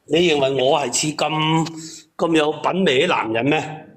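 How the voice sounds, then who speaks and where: A man's voice: on the high side, moderate pace, fairly bright tone, a little hiss or hum. A man on a call-in panel, giving sarcastic, reproachful commentary in casual talk.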